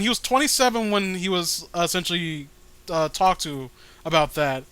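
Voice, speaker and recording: A man with a high, bright voice co-hosts a comedic read-along. He rambles through his commentary in an exasperated, casual way and swears freely. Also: clean tone, no room sound. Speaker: co-host of a comedic reading show. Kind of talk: casual comedic commentary while reading aloud.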